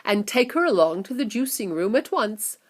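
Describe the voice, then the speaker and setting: A woman's voice: a little high, very animated, moderate pace. Woman voicing an eccentric male inventor, sighing, rueful, lilting, storybook.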